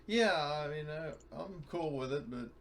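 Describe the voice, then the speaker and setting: A man's voice: fairly high pitch, lively intonation, unhurried. A man chatting casually as a player in a tabletop role-playing game, acting as a pilot. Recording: noisy mic with clicks.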